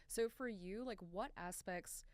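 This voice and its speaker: A woman's voice: medium pitch, a little slow, no echo. A woman hosting a podcast, asking long questions in a chatty, encouraging way. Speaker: podcast host. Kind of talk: casual interview conversation.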